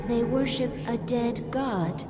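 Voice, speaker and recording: A woman playing an obedient alien servant in a game, giving formal, reverent, measured reports to her queen. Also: a little high, over loud music. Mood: dutiful.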